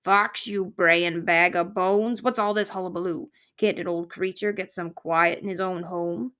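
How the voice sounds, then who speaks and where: A woman voicing a cantankerous old male porcupine in a storybook, growling colorful, folksy insults in a gravelly voice. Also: on the low side, steady tone, normal speed, fairly bright tone. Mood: bellowing, furious. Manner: barking colorful insults, folksy slangy speech.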